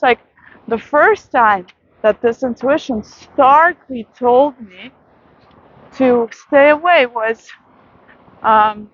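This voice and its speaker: A woman vlogger giving a soft, reflective late-night monologue outdoors in a city, with many pauses and fillers. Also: sits high, muffled recording.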